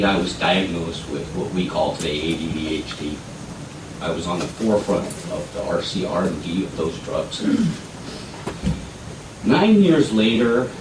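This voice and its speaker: A low-voiced man testifies before a legislative committee, earnest and pleading, his appeals turning emphatic. He sounds far from the microphone in an echoey hearing room with steady background noise. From Colorado. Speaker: member of the public testifying. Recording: echoey hearing room, distant mic, noisy.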